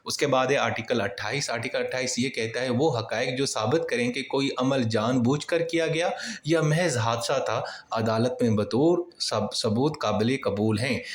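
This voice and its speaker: A male lecturer with a medium-pitched voice teaches in an explanatory, informal way. He states each point, then puts it again in everyday words. The recording is noticeably roomy and echoing. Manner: reads a point, then restates it colloquially with examples. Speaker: law lecturer. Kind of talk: informal explanatory law lesson.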